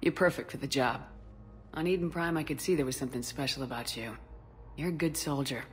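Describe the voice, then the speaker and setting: A woman playing a composed, supportive military starship commander in a video game, measured, sincere and direct. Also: extremely low pitch, a little hiss or hum. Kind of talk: video game dialogue acting.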